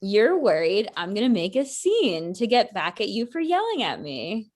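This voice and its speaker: A woman's voice: medium pitch, sing-song, moderate pace, a little mellow. A woman acting a blunt, sarcastic ex-girlfriend in her thirties in a conversational comedy script reading. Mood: sarcastic, testing.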